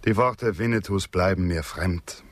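Earnest loyal frontier guy in a radio play, deep grave voice, poetic lines, no room sound. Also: unhurried, no room sound. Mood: troubled, questioning. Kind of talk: German radio drama acting.

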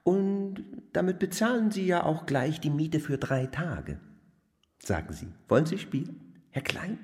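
Animated, courteous landlord. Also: male voice, on the high side, a little slow. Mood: coaxing. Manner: formal, courteous. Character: polite lame landlord, Herr Lehmann, generous but insistent. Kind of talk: radio play acting.

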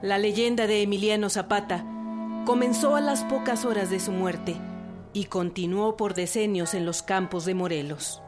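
Female narrator over loud music. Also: medium pitch, moderate pace. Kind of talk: scripted documentary narration.

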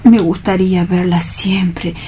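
A woman playing a timid, dreamy male clerk in a radio theatre reading, hesitant and anxious, with a husky, breathy voice and an accent from Spain. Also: lowish voice, flat delivery, slow, warm tone, heavily acted. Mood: dreamy dread. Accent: Spanish (Spain). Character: timid, dreamy male bank clerk afraid of real love.

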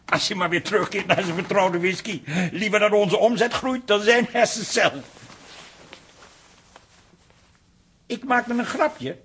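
An actor playing a concerned, plain-spoken grown son in a naturalistic radio drama. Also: male voice, high voice, moderate pace, a bit bright, a little hiss or hum. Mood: teasing.

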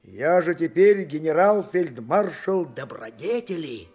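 A simple, boastful donkey driver in a radio play, fond of a drink. His husky voice sits high and swings widely as he wheedles and mutters to himself. Music plays underneath. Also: animated, unhurried, a little mellow. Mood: scheming, excited. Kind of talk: theatrical radio play acting.

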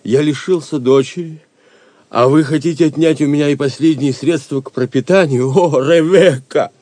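A man's voice: fairly high pitch, normal speed, mellow, no room sound, close to an everyday voice. Actor as obsequious, lamenting merchant father, dry sound. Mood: anguished. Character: ruined, pleading merchant father grieving his daughter. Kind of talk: Russian audio drama acting.

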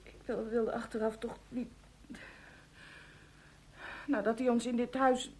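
An actress playing an anxious, high-strung wife in a radio play, agitated and emotional. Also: female voice, level tone, a little slow, darkish tone, slight background noise, close to an everyday voice. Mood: defensive, upset. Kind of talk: Dutch radio play dialogue.

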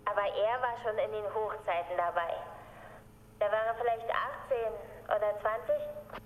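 An actress in a German radio drama playing a young CB radio operator, blunt and teasing, probing with questions over the air. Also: female voice, mid-range voice, measured pace, reverberant, a little hiss or hum, close to an everyday voice, low-quality recording. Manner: blunt, needling, inquisitive.

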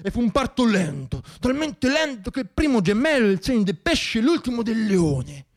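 A man's voice: very high-pitched, sing-song, moderate pace, voice pushed up. Satirical radio play: slick, patronising media operator, performed by a man, raised voice, pushy and theatrical. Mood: scheming, patronising. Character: cynical, slick male media man brushing off protesters. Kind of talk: satirical stage monologue.

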